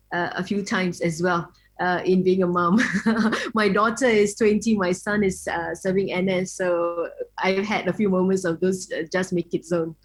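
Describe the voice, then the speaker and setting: A middle-aged woman moderates an online panel in a friendly way. She pauses often on hesitant fillers as she introduces each speaker. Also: mid-range voice. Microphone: video call.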